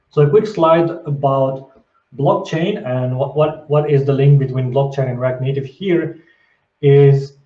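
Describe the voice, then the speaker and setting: Swiss man explaining over distant echoey audio. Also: mid-range voice. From Switzerland. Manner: steady, explanatory, with fillers and repeated words. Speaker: conference speaker. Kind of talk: technical talk. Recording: distant, echoey, muffled remote call audio.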